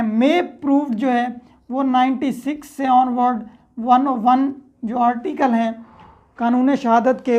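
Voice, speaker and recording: High-pitched Pakistani woman lecturing. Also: darkish tone, lo-fi sound. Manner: reads clauses slowly in English, then explains them step by step. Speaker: law lecturer. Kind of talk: explanatory lecture mixing Urdu with English legal terms.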